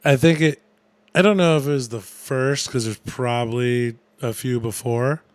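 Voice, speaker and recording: A man's voice: medium pitch, fairly even intonation, normal speed, clear, resonant tone, dry recording. A man in his thirties in a casual podcast chat, easygoing, making puns and laughing often.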